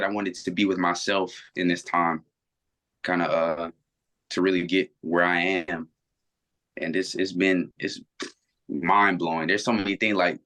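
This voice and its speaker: Young man, twenties, very low voice, reflective and rambling, video-call guest. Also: sharp tone. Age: 20s. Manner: earnest, rambling with many restarts. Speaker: interview guest. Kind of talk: reflective casual conversation.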